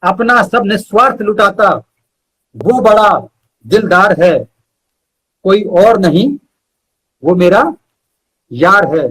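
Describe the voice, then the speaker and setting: A man's voice: sits high, takes it slowly, long pauses, a bit bright, slightly rough, rough recording. A man reciting poetry as a guest poet, repeating lines for emphasis, with short asides to listeners.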